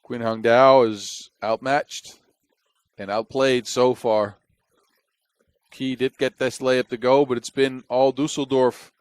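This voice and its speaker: A man's voice: medium pitch, fairly even intonation, slow pace, clear, resonant tone, no room sound. Dutch man calling basketball. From Netherlands. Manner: chatty and wry, with jokes between plays. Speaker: basketball commentator. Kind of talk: play-by-play commentary.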